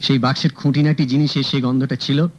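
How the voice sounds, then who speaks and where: An actor playing a sharp, confident private detective who calmly and cuttingly accuses a suspect. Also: male voice, medium pitch, quick pace, slight background noise, natural voice. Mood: accusing. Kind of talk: 1970s radio drama acting. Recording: dull old radio recording, faint music.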